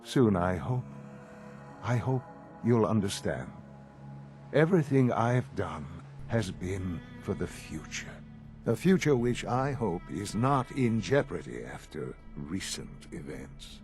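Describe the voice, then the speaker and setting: An actor playing a calm, calculating older male leader of a science institute in a video game, speaking in a measured, formal way. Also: sits low, soft background music, heavily acted. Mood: grave, stern. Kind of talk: video game cutscene acting.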